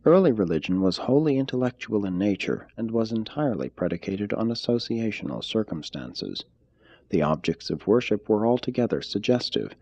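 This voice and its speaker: A man reading a formal text aloud as scripted narration, in a measured, deliberate manner. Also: on the low side, very animated, a little mellow, no room sound, highs cut off. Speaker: audiobook reader.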